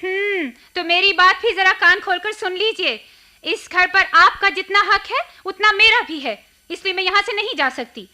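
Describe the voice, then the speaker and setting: An actress playing a defiant, sarcastic young educated wife who argues back in a radio drama. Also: female voice, extremely high pitch, normal speed, pushed and bright, full character voice. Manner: sarcastic, assertive retorts. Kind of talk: Hindi radio drama acting.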